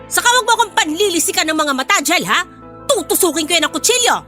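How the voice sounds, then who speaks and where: Shrill, scolding Filipino mother. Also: animated, fast, bright, forceful tone, faint music behind, heavily acted. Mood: angry, indignant. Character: harsh, short-tempered mother scolding her children. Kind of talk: Filipino radio drama acting.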